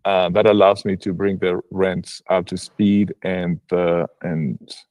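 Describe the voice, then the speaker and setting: A man's voice: low-pitched, level tone, takes it slowly, darkish tone, no echo. A man from Argentina, a real estate broker, talking on a livestream video call, hesitant with many restarts and fillers. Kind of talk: casual livestream call-in chat.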